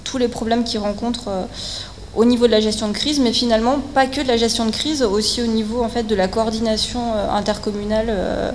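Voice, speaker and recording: A woman's voice: fairly high pitch, fairly even intonation. Woman presenting in an echoey, noisy hall. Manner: hesitant, halting delivery with frequent fillers and repeated words. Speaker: researcher and consultant speaking at a conference. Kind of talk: semi-spontaneous technical conference presentation. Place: indoor conference hall at a technical event. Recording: echoey hall, clear background noise.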